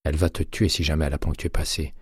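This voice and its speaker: A man's voice: very deep voice, little pitch movement, racing, husky, dry recording, studio quality, heavily acted. A man reading an audiobook, voicing a chatty, bossy female co-worker who exclaims and scolds familiarly. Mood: teasing scolding. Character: chatty, bossy woman co-worker, gossipy friend.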